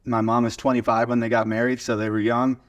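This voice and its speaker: A man's voice: medium pitch, little pitch movement, quick pace. A man in his twenties from Oklahoma with an American accent, talking casually as an interview guest and telling stories. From small town in Oklahoma, USA. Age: 20s. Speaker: podcast guest, video studio owner. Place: converted garage video studio.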